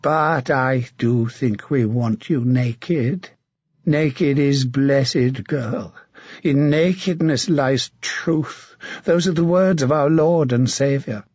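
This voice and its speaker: A man voicing a mad, leering middle-aged knight with a hoarse, wheedling voice. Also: medium pitch, slow, a little mellow, dry recording, lo-fi sound. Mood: leering menace.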